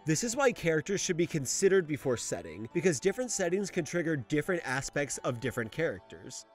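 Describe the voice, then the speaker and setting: Video essay narrator: young male, fairly high voice, enthusiastic and informal, light music bed. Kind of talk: scripted narration, anime and manga analysis.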